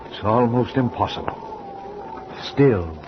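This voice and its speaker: An actor playing a hesitant ship's officer who speaks in a hushed, awed way, in 1940s radio drama style. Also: male voice, mid-range voice, expressive, takes it slowly. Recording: dull old radio recording with clear hiss.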